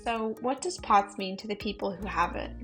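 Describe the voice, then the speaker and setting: A woman narrating and asking interview questions in a measured, explanatory way. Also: mid-range voice, a bit bright, soft background music. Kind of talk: scripted narration.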